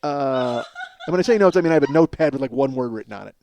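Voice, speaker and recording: A man hosting a comedy podcast in a casual, rambling, profane and excitable ranting monologue. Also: mid-range voice, racing, vocal fry, slight background noise. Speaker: podcast host.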